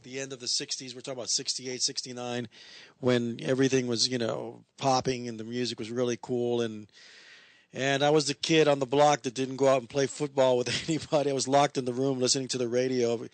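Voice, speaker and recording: A man in his fifties from Puerto Rico, a guitarist telling his story in a relaxed, rambling way with many restarts in a radio studio. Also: mid-range voice, a little fast, dry recording. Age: 50s. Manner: rambling, easygoing, with frequent restarts. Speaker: guest musician. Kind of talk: casual chat. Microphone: studio microphone.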